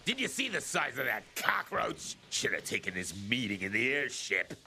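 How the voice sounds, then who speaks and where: A man's voice: medium pitch, huge pitch swings, moderate pace, bright, forceful tone, slight background noise, heavily acted. A man playing a crude, disdainful, demanding character in a video game, theatrically scornful and profane. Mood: disgusted. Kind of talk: cinematic game acting. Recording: music behind the dialogue.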